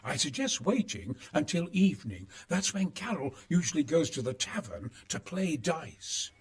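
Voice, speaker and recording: Crafty, highly animated male schemer. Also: normal speed. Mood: sly, persuasive. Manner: sly, hedging, expressive. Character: crafty, conspiratorial male schemer sheltering in a monastery. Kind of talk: video game cutscene acting.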